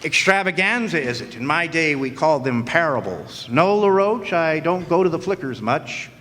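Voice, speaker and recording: A man's voice: a little high, expressive, normal speed, bright, forceful tone. An actor playing a wisecracking hardboiled male private eye, narrating in a dry, sardonic noir radio style on a stage. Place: live stage performance in a hall. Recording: long hall reverb.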